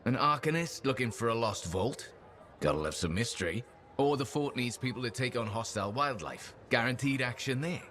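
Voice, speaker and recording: An actor voicing an upbeat, easygoing adventurer with a folksy, chatty manner in a video game. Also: male voice, mid-range voice, animated, moderate pace, fairly bright tone, slight background noise, close to an everyday voice. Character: upbeat, easygoing male pilot who loves adventure. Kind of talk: video game dialogue acting.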